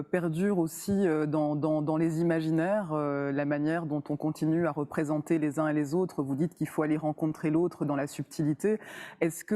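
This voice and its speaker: Female interviewer, hesitant with many pauses, warm low-toned voice. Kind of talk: interview questions.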